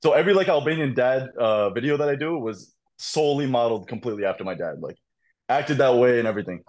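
A young man, a podcast guest and content creator, telling animated stories in casual chat over a video call. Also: medium pitch, fast talker. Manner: animated storytelling, laughs often.

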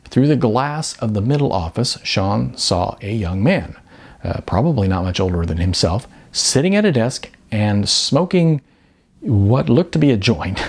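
A middle-aged man reading a story aloud as an expressive audiobook narrator. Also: mid-range voice, gravelly. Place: bright furnished room indoors.

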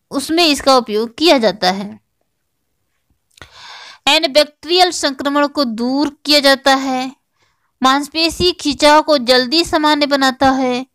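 A woman's voice: high-pitched, a bit bright. A woman narrating a lesson as a teacher, explaining step by step and repeating key points. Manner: didactic, repeats key points as questions and answers. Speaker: teacher, online exam-prep educator. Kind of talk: scripted lesson narration over slides.

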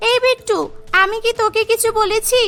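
A loyal young schoolgirl in a cartoon, acted by a woman, very high and bright. She is childlike, outspoken and assertive, with music behind her. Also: steady tone, brisk. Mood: scolding, defiant. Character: loyal, outspoken rich schoolgirl best friend.

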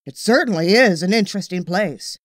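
A woman voicing a polite old female alley cat, a well-travelled storyteller, courteous and expressive, for a storybook. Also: medium pitch, fairly quick, no room sound, studio quality, lightly acted. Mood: wistful, storytelling.

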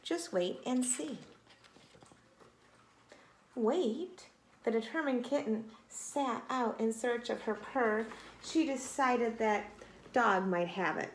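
Woman, forties, expressive children's read-aloud, unhurried, echoey home recording, faint noise. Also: on the high side. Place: small room at home, indoors. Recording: echoey room, light background noise.

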